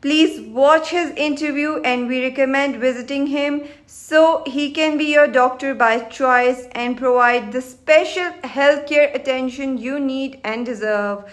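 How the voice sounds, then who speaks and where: A woman's voice: sits high, measured pace, hardly any pauses. A woman presenter in a news studio, formally reading a scripted introduction. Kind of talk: scripted announcement.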